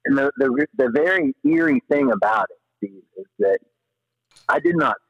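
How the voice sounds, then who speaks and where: Low voiced guy on a podcast tellin rambly slangy stories, animated, kinda dull lofi audio, dry no echo. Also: normal speed. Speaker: podcast guest, paddler and former river guide. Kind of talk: casual storytelling in an interview.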